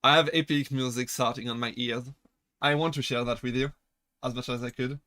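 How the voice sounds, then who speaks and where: A man's voice: medium pitch, steady tone, fairly quick, pushed and bright, dry recording. A young man from France with a French accent, chatting casually with his live-stream chat, laughing often and restarting his sentences as he jokes. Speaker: VTuber streamer.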